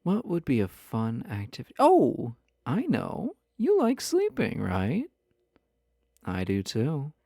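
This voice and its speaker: A man acts out a possessive yandere husband flirting like a stranger in an intimate roleplay audio. He speaks slowly in a mellow, mid-pitched voice, teasing and coy with a show of innocence. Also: huge pitch swings, dry recording, clean studio sound, exaggerated voice. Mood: playful flirting. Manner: teasing, coy, smooth-talking with mock innocence.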